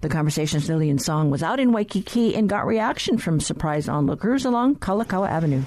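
A woman radio host reading a scripted news introduction in a measured, even way. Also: fairly low pitch, background noise. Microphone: studio microphone.

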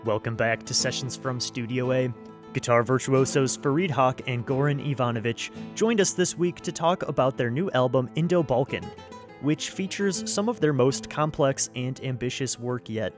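A man hosting a radio music show, reading scripted announcements in a smooth, even way. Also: medium pitch, moderate pace.

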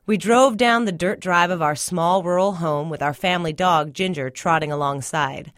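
A woman's voice: fairly low pitch, moderate pace, no room sound, clean studio sound. A woman reading a first-person literary short story aloud as scripted narration. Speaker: story reader.

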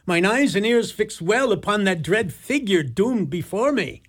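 A man's voice: high voice, lively intonation, measured pace. A man playing a loyal, frightened soldier in an amateur radio drama, earnest and theatrical in archaic speech. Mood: alarmed, earnest.

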